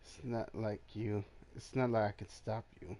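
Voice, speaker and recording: A man's voice: fairly low pitch, little pitch movement, moderate pace, warm tone, a little hiss or hum. A man giving casual gameplay commentary as a streamer, muttering asides to himself as he plays. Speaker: gaming streamer.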